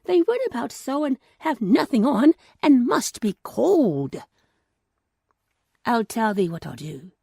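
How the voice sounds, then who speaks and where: A woman voicing a shoemaker's grateful, kindly older wife in a children's storybook, with emphatic, deliberate delivery. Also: a little high, vocal fry, warm tone, husky, no echo, studio quality, heavily acted. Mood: grateful, excited.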